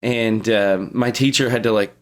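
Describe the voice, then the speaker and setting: A man's voice: fairly low pitch, steady tone, moderate pace. A man in his early thirties chatting casually as a podcast co-host, telling joking anecdotes in slangy, swear-heavy language.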